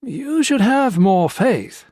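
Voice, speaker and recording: A man reading a story voices an older priest who speaks quietly and with compassion. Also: very high-pitched, sing-song, slow, dry recording, clean studio sound, voice pushed up, heavily acted. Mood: gentle. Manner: quiet, soft, patient.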